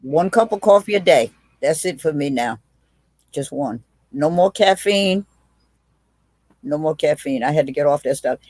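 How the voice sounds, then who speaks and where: A woman's voice: deep voice, animated, normal speed, long pauses, a little mellow, no room sound. A woman, a witty, blunt guest telling stories in a casual video chat, often restarting her sentences. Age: 80s. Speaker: guest, aunt.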